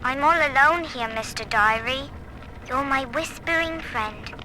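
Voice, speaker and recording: A woman's voice: sits high, a little slow. An actress playing a lonely but defiant young girl, confiding dreamily in her diary in a game. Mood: lonely, wistful. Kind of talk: secret audio-diary confession. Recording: noisy, hissy vintage audio log.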